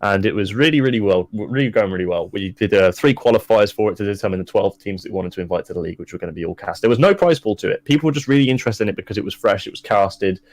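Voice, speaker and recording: A man's voice: lowish voice, rapid-fire, hardly any pauses, a little mellow. A young man, talking casually and at length as an interview guest, with many restarts and fillers. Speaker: esports caster and guest.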